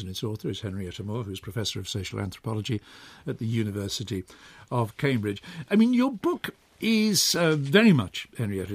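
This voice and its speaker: A man presenting a talk radio programme and interviewing a guest in a measured, articulate way. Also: lowish voice, breathy edge. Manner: measured, articulate, lively intonation. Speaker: radio host. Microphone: studio microphone.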